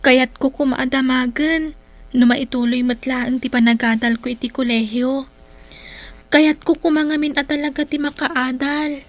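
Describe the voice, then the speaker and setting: An actress playing an earnest, pleading young daughter in a radio drama, with an Ilocano accent. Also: female voice, high voice, fairly even intonation, normal speed, natural voice. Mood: hopeful, anxious.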